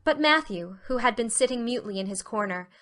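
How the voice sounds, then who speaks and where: A woman reads as the narrator of a classic storybook. Her voice is bright and somewhat high, and the delivery is expressive and clearly scripted. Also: brisk. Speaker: audiobook narrator.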